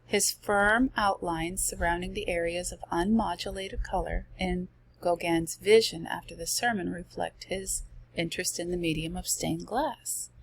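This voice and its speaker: A woman's voice: fairly low pitch, steady tone, unhurried, slight background noise, low-quality recording. A woman who is losing her voice, presenting art slides in a chatty way, reading passages and adding casual asides. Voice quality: gravelly. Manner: chatty, reads passages then comments with asides.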